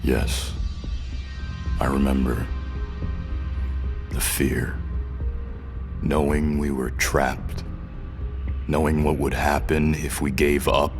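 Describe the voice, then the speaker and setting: An actor playing a haunted, defiant rebel prisoner whose memory is fading, speaking in a halting, strained and stubborn way in an audio drama. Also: male voice, very deep voice, flat delivery, over music, full character voice. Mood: insistent. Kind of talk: scripted audio drama acting.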